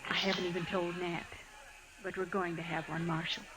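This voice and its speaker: An actress playing an earnest, strong-willed American frontier wife in an old radio western, composed and direct. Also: female voice, on the low side, level tone, brisk, natural voice. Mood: worried, earnest. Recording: old radio broadcast with music behind.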